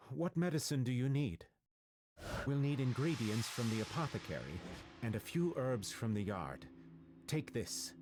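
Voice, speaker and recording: A man playing a grave, secretive academy doctor in a video game, with deliberate, solemn delivery. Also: mid-range voice. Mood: weak, grateful. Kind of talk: dramatic video game voice acting. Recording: music behind the voice.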